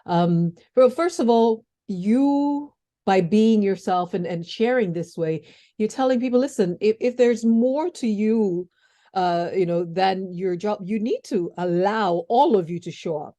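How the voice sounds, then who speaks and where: A woman's voice: mid-range voice, unhurried. Enthusiastic woman podcast host. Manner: enthusiastic, warm, restarts often. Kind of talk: interview podcast chat.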